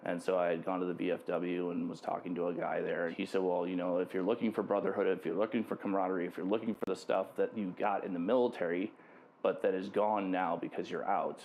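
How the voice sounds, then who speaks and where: A man who served in the military, giving a measured, hesitant interview account with frequent pauses and ums. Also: deep voice, little pitch movement, darkish tone, slight background noise, low-quality recording.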